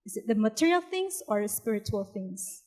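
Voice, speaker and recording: A woman's voice: a little high, big pitch swings, moderate pace, a little mellow. A woman from the Philippines, a business PhD student on a panel, answering earnestly with a few fillers.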